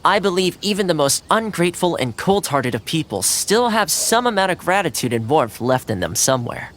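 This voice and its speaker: An actor, a man, playing a polite, diplomatic video game character explaining things in a measured, tactful way. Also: a little high, sing-song, a bit bright. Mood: calm, tactful.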